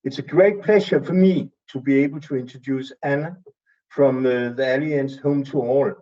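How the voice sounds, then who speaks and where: A man from Denmark with a Danish accent, giving a measured, somewhat halting introduction at an online event. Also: mid-range voice, mellow. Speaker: city official introducing a speaker. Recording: distant muffled mic.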